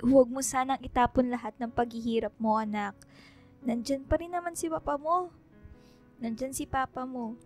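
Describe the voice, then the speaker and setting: A woman's voice: sits high, moderate pace, soft background music, natural voice. An actress playing a loving, worried mother in a Filipino radio drama, speaking in a pleading, soothing, emotional way with a Filipino accent. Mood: pleading, encouraging. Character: loving, worried mother urging her grieving daughter to stay strong.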